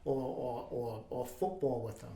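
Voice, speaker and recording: A man in his fifties speaking in casual chat as a podcast guest, earnest and halting, with many ums and restarts. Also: mid-range voice, dark tone, roomy sound. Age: 50s.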